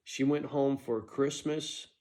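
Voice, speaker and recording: A man's voice: mid-range voice, steady tone, slow pace, a little mellow. A middle-aged man casually telling a story from his own life, blunt and profane, often tagging "right?" onto his sentences.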